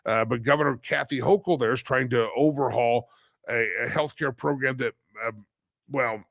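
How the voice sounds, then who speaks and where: A man's voice: mid-range voice, normal speed, vocal fry, fairly bright tone, dry recording, clean studio sound. A man hosting a live talk show, rambling with lots of fillers and a slightly nasal head cold.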